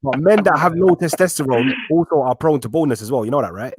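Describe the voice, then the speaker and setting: A man's voice: on the high side, animated, fast, a loud crowd. A man in a casual group chat, confident and teasing.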